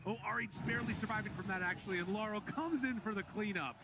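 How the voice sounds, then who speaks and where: A man's voice: high voice, fast talker, background noise. A man doing live play-by-play commentary on an esports match, calling the action in a reactive, dramatic way.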